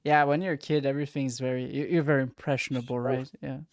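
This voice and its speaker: A man's voice: mid-range voice, level tone, fast talker, clear, resonant tone, dry recording, studio quality. A man hosting a podcast, chatty and eager as he greets listeners and interviews his guest. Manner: eager, chatty, asks for engagement. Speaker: podcast host.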